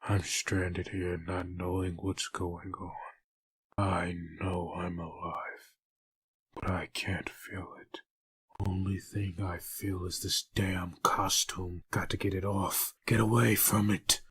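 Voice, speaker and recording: A man's voice: low-pitched, level tone, takes it slowly, a bit bright, husky, no room sound, heavily acted. A man voicing a haunted, brooding male antihero in a murmured inner monologue for a fan comic dub.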